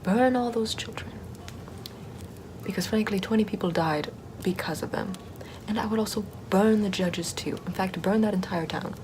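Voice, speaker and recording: A woman's voice: mid-range voice, normal speed, noisy background, close to an everyday voice. A woman playing a chill, sardonic Puritan-era Salem witch in a whispery, casual ASMR roleplay. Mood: scornful. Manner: hushed, often whispering, wry and casual.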